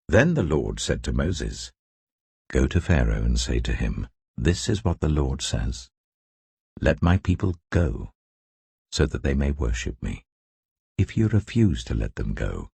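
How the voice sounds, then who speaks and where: A very low-voiced man narrates scripture with solemn deliberation. His voice is strongly husky and gravelly, and he delivers the text as dramatic, scripted storytelling. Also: darkish tone, no room sound. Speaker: audio Bible narrator.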